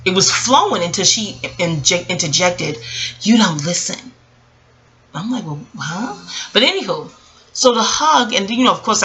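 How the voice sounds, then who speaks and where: A woman speaking casually and reflectively about her own experience, rambling with emphatic pauses and frequent self-corrections. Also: fairly low pitch, sharp tone, rough recording. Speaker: vlogger. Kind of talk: casual reflective personal storytelling.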